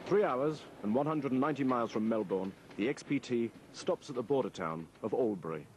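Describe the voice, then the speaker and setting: A man's voice: medium pitch, lively intonation, fairly quick, noisy background. A man delivering scripted narration for a travel documentary. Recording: voice-over with music behind.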